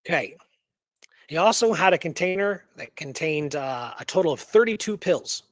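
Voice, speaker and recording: A man with a slightly high voice hosts a conversational radio show. He reads notes deliberately, stressing the details, then drops into casual commentary. The sound is very dry, with no room to it. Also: lively intonation, dry recording. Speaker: co-host presenting a true story.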